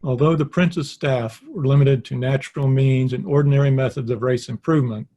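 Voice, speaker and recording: A man's voice: mid-range voice, steady tone, brisk, warm tone. A man in an online study group, reading passages formally and adding casual comments with fillers. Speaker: webinar participant.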